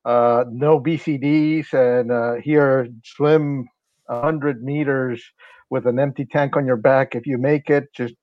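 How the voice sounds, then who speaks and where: A man's voice: mid-range voice, steady tone, slow pace, no echo, lo-fi sound. A man from Honduras, a company's sales and marketing director, explaining things at length in a casual interview with many fillers.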